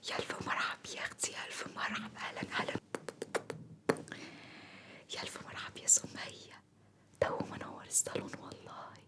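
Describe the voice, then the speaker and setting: A woman plays a homesick beautician with an Upper Egyptian accent, speaking entirely in a breathy whisper. She is effusive and repetitive, piling up homely endearments and grumbles about city people in a one-sided ASMR salon roleplay. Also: fast, long pauses, close to an everyday voice. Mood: effusive welcome. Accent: Upper Egyptian (Sa'idi) Arabic.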